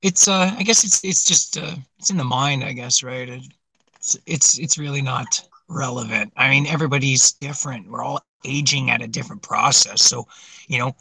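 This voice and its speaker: Bright-voiced man in his forties, chatting. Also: medium pitch, moderate pace, vocal fry, breathy edge, no room sound, poor audio. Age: 40s. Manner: rambling, laughs often, swears casually. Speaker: livestream co-host. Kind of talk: casual chat.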